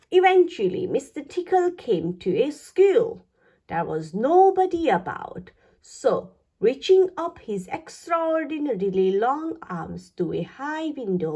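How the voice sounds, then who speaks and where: Slow, lively woman reading a children's story. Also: a little high, husky. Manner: expressive, deliberate phrasing for young listeners. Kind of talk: read-aloud children's storytelling.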